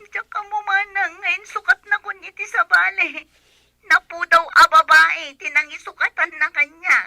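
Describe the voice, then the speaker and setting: A woman's voice: extremely high pitch, fairly even intonation, moderate pace, gravelly, pushed and bright, no room sound, exaggerated voice. A woman playing a hurt younger woman who has been jilted, plaintive and confiding, in an Ilocano comedy radio drama with an Ilocano Filipino accent. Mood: tearful.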